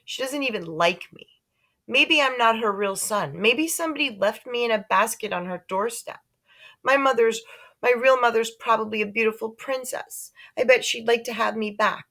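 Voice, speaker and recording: Woman playing an indignant, bright-voiced grade-school boy. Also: a little high, normal speed, voice pushed up. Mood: sulky, hurt. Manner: blunt, complaining. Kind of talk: children's storybook read aloud.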